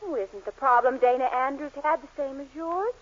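An actress playing an earnest, gently persistent young American sweetheart in a 1940s radio sitcom. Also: female voice, high-pitched, moderate pace, close to an everyday voice. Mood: earnest, insistent. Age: young adult. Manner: earnest, emphatic, pleading. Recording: muffled 1940s radio recording.

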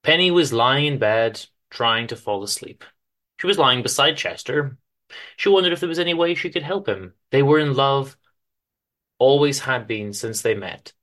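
A man reads a story aloud as its narrator. His voice is bright and forward, of middling pitch. The delivery is dry and measured, with formal phrasing. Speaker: author-narrator reading his own story on a podcast. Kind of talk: dramatic scripted story reading.

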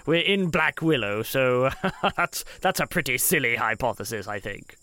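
A man's voice: medium pitch, expressive, moderate pace, bright, forceful tone, dry recording. A man playing a polite, eccentric scientist who rambles hesitantly in an improvised tabletop game. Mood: amused, flustered. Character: fussy, polite, eccentric male scientist investigator. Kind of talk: improvised tabletop role-play.

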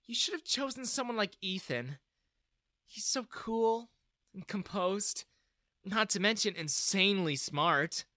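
A man voicing a playful, blunt teenage daughter in an animated story. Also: high voice, measured pace, dry recording, studio quality, pitched above the performer's own voice, full character voice. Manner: playful, teasing.

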